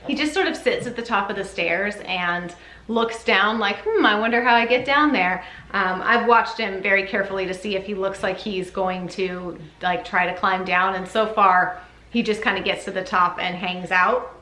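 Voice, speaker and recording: A woman's voice: mid-range voice, moderate pace, pushed and bright. A woman talking casually and chattily for a parenting vlog, rambling a little in a giggly, informal way. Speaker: parenting vlogger, mother. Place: upstairs bedroom at home. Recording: echoey room.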